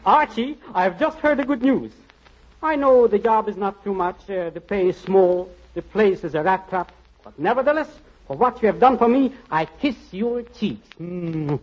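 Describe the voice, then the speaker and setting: A florid, grandly gushing singer. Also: male voice, high voice, sing-song, normal speed, a little mellow. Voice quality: florid, theatrical. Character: pompous flowery male singer, gushing and grand. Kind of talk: 1940s radio comedy acting. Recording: lo-fi old radio broadcast with music.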